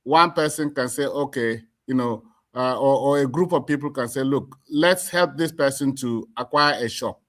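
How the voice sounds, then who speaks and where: A middle-aged man with a Nigerian accent gives an explanatory interview. He talks deliberately and with emphasis at a medium pitch, often repeating words as he builds a point. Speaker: community association chairman, interview guest.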